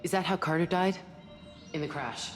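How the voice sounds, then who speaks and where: An actress playing a blunt, practical spaceship crew medic in a video game, with a direct, dry manner. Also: female voice, on the low side, moderate pace, soft background music, natural voice. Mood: concerned. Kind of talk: cinematic video game acting.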